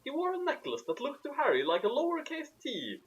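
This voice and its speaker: A man's voice: extremely high pitch, wildly swooping pitch, brisk, a bit bright, highs cut off. A man reading fan fiction aloud theatrically, with sarcastic asides. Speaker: narrator.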